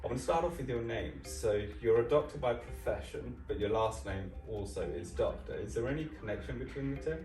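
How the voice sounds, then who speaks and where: A man's voice: medium pitch, animated, a little fast, hardly any pauses, darkish tone. A man hosting a casual interview, upbeat and playful, with some filler ums. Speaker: host, co-founder. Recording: roomy sound from a distant mic with music.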